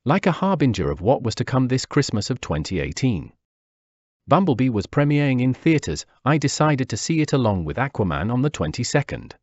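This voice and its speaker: A man's voice: medium pitch, very animated, brisk, no echo. A man, reading a wistful first-person memoir. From Peru. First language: Spanish. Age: 40s. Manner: wistful, reflective. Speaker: narrator. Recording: dull dry lo-fi recording.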